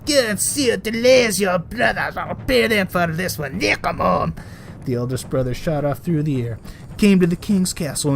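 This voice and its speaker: A man reading a folktale aloud as a storyteller, in expressive storybook narration. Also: mid-range voice, a little hiss or hum. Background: added music.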